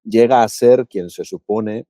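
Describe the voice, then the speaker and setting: A man from Paraguay co-hosting a podcast, thinking aloud in a relaxed, reflective chat with frequent restarts. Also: on the low side, unhurried, dry recording, studio quality. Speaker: podcast co-host. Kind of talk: casual reflective conversation.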